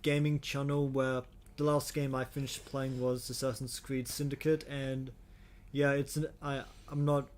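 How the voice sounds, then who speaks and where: A man hosting a casual podcast chat, speaking haltingly and often stammering and repeating his words. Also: mid-range voice, flat delivery, a little mellow. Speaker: podcast host.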